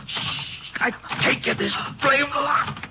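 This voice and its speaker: High-voiced, scared farmer husband. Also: male voice, little pitch movement, slow, full character voice. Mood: anxious, urgent. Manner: hushed, urgent. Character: scared farmer husband, urgent, protective of his wife. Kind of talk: 1950s radio drama acting. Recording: muffled, very noisy old radio recording.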